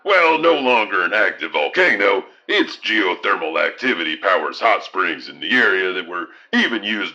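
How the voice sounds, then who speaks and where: A man's voice: lowish voice, sharp tone, muffled recording, full character voice. A man playing a cryptic, professorial eyewitness who rambles hesitantly and pedantically about lore in an audio drama.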